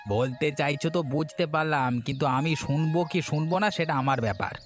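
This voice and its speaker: A man playing an arrogant, selfish village bully in a cartoon, with a gruff, dismissive, threatening manner. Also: a little high, brisk, natural voice. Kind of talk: Bengali moral-story cartoon dubbing. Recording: music behind the voice.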